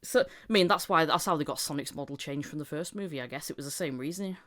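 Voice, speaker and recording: A woman with a lowish voice hosting a casual podcast chat, animated and quick to laugh as she talks. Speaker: podcast host and interviewer.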